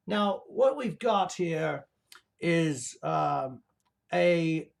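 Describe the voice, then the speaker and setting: A cranky, grandiose creature of a fake professor, put on by a performer, hosts a comedy show. The talk is a halting, improvised ramble, full of stammers and self-importance. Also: medium pitch, gravelly, exaggerated voice. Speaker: show host. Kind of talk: improvised in-character comedy monologue.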